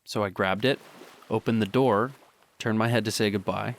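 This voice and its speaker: A man's voice: lowish voice, fairly even intonation, normal speed, a little hiss or hum, natural voice. A young man playing a guilt-ridden teenage boy confessing and pleading in a radio drama. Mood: somber. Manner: earnest confession, repeats denials.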